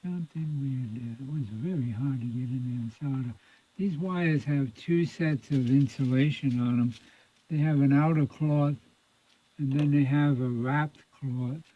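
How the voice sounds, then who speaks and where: A man giving an informal, folksy repair demonstration, thinking aloud in a halting mutter as he works. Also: mid-range voice. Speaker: radio repair hobbyist presenting own repair video. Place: indoors at a repair workbench. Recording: muffled phone-like audio, lo-fi.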